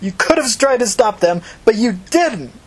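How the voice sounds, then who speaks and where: A man's voice: extremely high pitch, big pitch swings, normal speed, husky, pitched above the performer's own voice, full character voice. Man acting a furious, threatening young woman. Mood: accusing, anguished. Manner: commanding, profane, pressing in short emphatic bursts.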